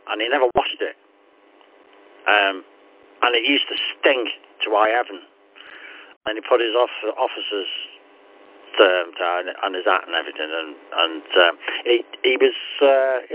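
A man chatting over amateur radio, telling rambling stories. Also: lowish voice. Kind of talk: casual radio chat. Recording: radio transmission, phone-like narrow band.

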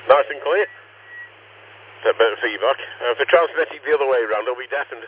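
A man with a deep voice, chatting casually on an amateur radio link from a parked car and repeating his call sign often. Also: fast talker, a little hiss or hum. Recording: tinny radio transmission.

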